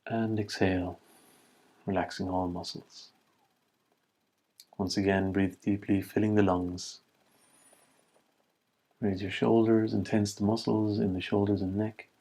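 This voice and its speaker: A man leading a guided body scan meditation as an instructor, giving calm, measured instructions in short, spaced phrases. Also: lowish voice.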